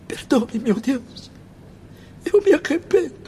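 A man's voice: very high-pitched, lively intonation, slow pace, slight background noise, full character voice. An actor playing a tormented, remorseful man crazed by jealous passion in a theatrical radio drama. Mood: desperate repentance. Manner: theatrical, anguished, swinging from confession to frenzy. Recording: loud dramatic music behind the voice.

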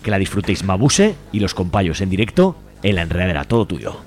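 A man's voice: low voice, big pitch swings, brisk, over music. A man hosting a casual radio interview, chatty and upbeat as he asks questions. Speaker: radio host. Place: radio studio.

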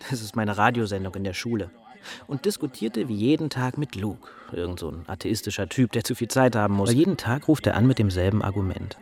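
Earnest young man with a low voice. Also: lively intonation, fast, gravelly, a little mellow. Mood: casual, recounting. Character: earnest, self-doubting young student radio host. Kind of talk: radio drama first-person storytelling.

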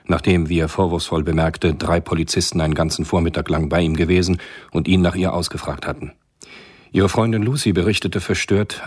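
Deep, very husky male narrator. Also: no room sound, studio quality. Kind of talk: scripted radio drama narration.

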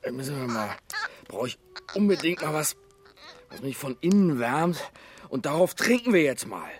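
An actor playing an easygoing, placating middle-aged husband in a naturalistic radio drama, expansive and a little pompous. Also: male voice, fairly high pitch, very animated, normal speed, noisy background. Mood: jovial. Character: easygoing, soothing husband, grandly sentimental and wry.

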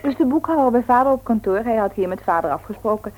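An actress playing a teasing, lively sister in a Dutch radio play, talking in a colloquial way. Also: female voice, on the high side, fast, close to an everyday voice. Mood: confiding. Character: teasing, lively grown-up sister and daughter of the house. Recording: noisy old radio play recording.

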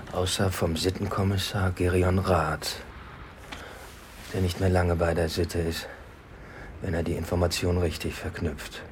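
An actor playing a brooding, guarded police detective in a radio play, speaking in a terse, laconic way. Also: male voice, deep voice, noisy background. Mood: pensive, murmuring.

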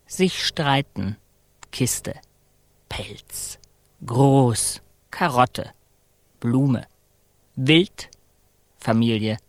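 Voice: mid-range voice, no echo. An actor in a radio play voicing a solemn chanting figure who recites isolated words in a detached way. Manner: slow, detached single-word recitation.

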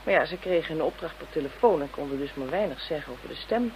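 A woman's voice: low-pitched, animated, fast talker, a little mellow. An actress playing a direct, confident female police inspector in a Dutch radio drama. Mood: explanatory. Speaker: voice actor. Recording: muffled, noisy old radio drama recording.

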